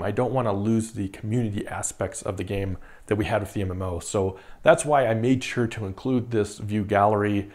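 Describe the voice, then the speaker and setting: Guy in his 30s walking through his game demo, laid back, lots of uh's, slightly low voice. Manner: relaxed, chatty, frequent uh fillers and repeated words. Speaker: indie game developer. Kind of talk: casual video devlog narration.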